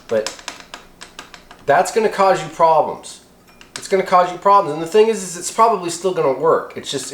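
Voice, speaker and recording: A man hosting a hands-on how-to video in casual, folksy, rambling talk. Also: mid-range voice. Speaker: host of a hobby how-to video. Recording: echoey room.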